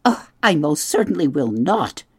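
A woman's voice: low voice, big pitch swings, husky, no room sound, clean studio sound, full character voice. Woman doing a bossy old goose. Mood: suspicious, scolding. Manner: brisk, scolding, matter-of-fact. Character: bossy old gray female goose, blunt and suspicious. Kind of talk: audiobook reading.

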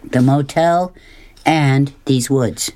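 A man voicing a quiet, withdrawn young country boy who speaks in a hushed, reluctant, plain way. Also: mid-range voice, slow, close to an everyday voice.

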